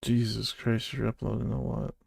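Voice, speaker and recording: A man's voice: lowish voice, level tone, normal speed, gravelly, a little mellow, husky, no room sound. A man streaming, swearing and muttering to himself about his computer. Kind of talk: casual streaming chat.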